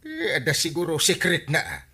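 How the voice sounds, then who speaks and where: An actor playing a genial, coaxing village captain in an Ilocano radio comedy drama. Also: male voice, a little high, huge pitch swings, normal speed, sharp tone, slightly rough, heavily acted.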